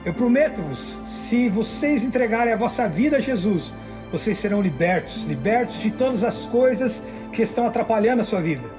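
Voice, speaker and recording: High male voice, earnest testimony voice-over, music bed underneath. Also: lively intonation, brisk. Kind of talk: testimony voice-over in a ministry video. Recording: ministry video with music.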